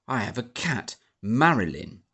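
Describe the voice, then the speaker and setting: A man's voice: mid-range voice, sing-song, unhurried, sharp tone, husky, pitched above the performer's own voice, full character voice. A man voicing a pompous, blustering middle-aged army major who sneers and splutters, in an audiobook. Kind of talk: audiobook character voice.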